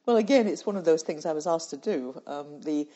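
A woman's voice: low voice, lively intonation, fairly quick, warm tone. An older woman from the UK, a guest in an interview, telling stories in a reflective way and laughing easily. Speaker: guest, former charity president. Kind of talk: conversational interview storytelling. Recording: slightly muffled, lo-fi audio.

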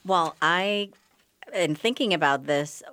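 A woman in her fifties with a faint Bahamian accent and a low voice answers questions on a radio show. She is thoughtful and conversational, with fillers, and the studio sound is dry. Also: slow pace, fairly bright tone, no room sound. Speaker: physician guest. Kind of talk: radio interview.

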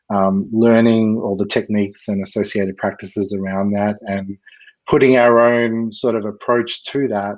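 Man, low voice, measured technical explanation, lots of filler words, video call. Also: darkish tone, lo-fi sound. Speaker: guest expert.

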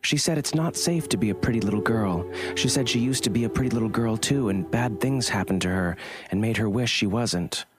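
A man's voice: fairly low pitch, brisk, over music, natural voice. A man voicing a small, frank little girl who speaks in an innocent, matter-of-fact way. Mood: earnest.

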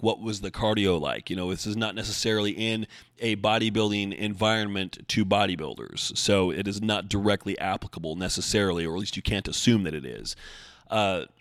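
A man's voice: lowish voice, no echo. A man hosting a casual solo podcast, rambling and correcting himself with dry humor as he answers listeners. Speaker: podcast host and bodybuilding coach.

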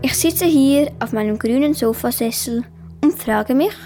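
Girl, 10 to 12, high voice, slow scripted read over faint music. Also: warm tone. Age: child, 10 to 12. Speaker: young workshop participant reading own text. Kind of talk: scripted reading.

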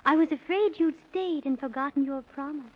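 A woman's voice: high-pitched, moderate pace, lightly acted. A woman voicing a friendly, fretful talking rose in a rhyming 1930s children's radio play. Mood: relieved, anxious. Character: friendly, anxious female talking rose, motherly. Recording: muffled 1930s radio recording.

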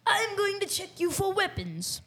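A woman's voice: extremely high pitch, very animated, measured pace, fairly bright tone, exaggerated voice. A young girl playing a bossy, suspicious police detective who questions bluntly in an amateur radio play. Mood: commanding. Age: child or teenager.

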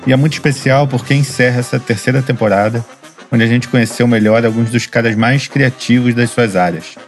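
A man's voice: mid-range voice, steady tone, brisk, faint music behind. A man hosting a podcast with a Brazilian Portuguese accent, reading an upbeat intro and then chatting casually with his guest in a studio. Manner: upbeat, chatty, laughs easily. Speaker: podcast host.

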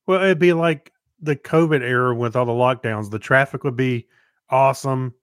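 A man's voice: mid-range voice, moderate pace, smooth, no echo, studio quality. A man co-hosting a podcast, talking in a casual, conversational way. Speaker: podcast co-host.

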